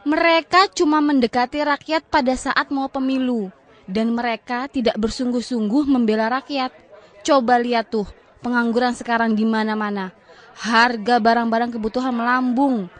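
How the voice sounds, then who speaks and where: An actress plays an outspoken female citizen at a forum. Her voice is fairly high and she speaks eagerly and emphatically. There is a faint crowd in the background. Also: steady tone, normal speed, close to an everyday voice. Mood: indignant. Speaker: audience member. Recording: crowd noise behind.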